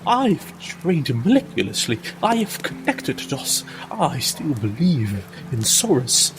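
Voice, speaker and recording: A man playing a tormented, defiant inquisitor in a tabletop game, speaking in halting, emphatic phrases. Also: fairly high pitch, wildly swooping pitch, background noise, exaggerated voice. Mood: defiant, anguished. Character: tormented, defiant male inquisitor, strained and desperate.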